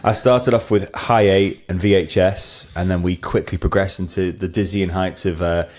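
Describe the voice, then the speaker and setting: A man's voice: sits low, fairly even intonation, moderate pace, darkish tone. A middle-aged man with a hybrid Scouse-Mancunian accent, chatting casually in an interview and telling anecdotes in a rambling, self-deprecating way. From northwest England, Wirral and Manchester. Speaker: podcast guest, wedding photographer.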